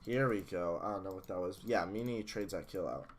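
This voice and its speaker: A man reviewing a match replay as a coach, blunt and analytical, a little hoarse from losing his voice. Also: sits low, fairly quick, a little hiss or hum. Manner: analytical, blunt, swears at software glitches. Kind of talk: casual match review commentary.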